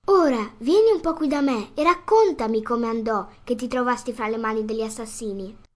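A high-pitched, motherly fairy from a storybook, played by a woman. She is patient and formal, gently admonishing as she speaks. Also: moderate pace, close to an everyday voice. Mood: gently scolding. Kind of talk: acted storybook dialogue.

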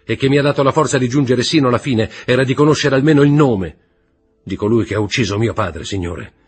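A man's voice: fairly low pitch, expressive, normal speed, slightly rough. A man voicing a desperate young nobleman who pleads and cries out to learn who killed his father. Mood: anguished. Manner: pleading, rising to anguished cries.

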